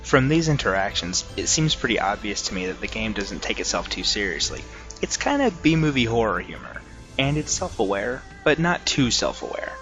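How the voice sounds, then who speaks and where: A man's voice: on the low side, sing-song, normal speed, a bit bright. A man narrating scripted video game commentary as a reviewer, with music in the background.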